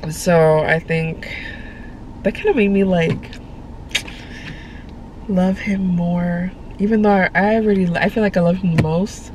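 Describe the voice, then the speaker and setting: A woman's voice: lowish voice, steady tone, measured pace, pauses often. A congested young woman vlogging in a parked car, chatting in a rambling way with many fillers, recorded on a phone held at arm's length. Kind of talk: casual chat to camera. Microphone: phone camera at arm's length. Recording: faint background noise in a car.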